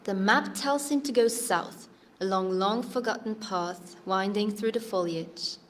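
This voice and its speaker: An actress playing a solemn, mysterious fairy-tale narrator with poetic, suspenseful and deliberate delivery. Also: female voice, medium pitch, lightly acted. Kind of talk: scripted dramatic narration.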